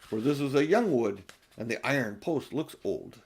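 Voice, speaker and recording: A man's voice: medium pitch, animated, normal speed, darkish tone, husky. A man voicing a courtly, valiant young queen in a storybook, speaking in a formal, archaic way. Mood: wondering.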